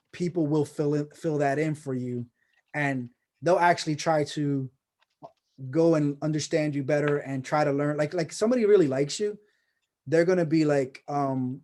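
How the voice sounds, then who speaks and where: A man hosting a relaxed online session, speaking on a video call. His voice sits a bit high, yet the tone stays dark and mellow. He rambles along warmly, restarting his sentences as he encourages his guest. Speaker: host of a personal branding course. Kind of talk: casual video call chat.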